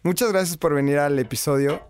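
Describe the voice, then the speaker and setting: Guy hosting a podcast in a studio, friendly chatty questions, slightly high voice. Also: fairly quick, a little mellow, clear, resonant tone. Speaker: podcast host. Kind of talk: casual interview.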